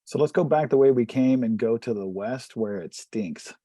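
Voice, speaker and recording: Mellow, husky man at a game table. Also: mid-range voice, normal speed, dry recording, studio quality. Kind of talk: casual game-table talk.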